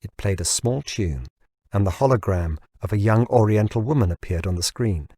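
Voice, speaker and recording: A man's voice: on the low side, animated, moderate pace, mellow, dry recording, studio quality. A man reading a novel aloud as scripted audiobook narration.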